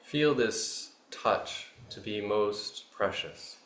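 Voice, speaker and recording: A man teaching a guided meditation, giving gentle, unhurried instructions in short phrases with long pauses between them. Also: fairly low pitch. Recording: roomy echo, faint background noise.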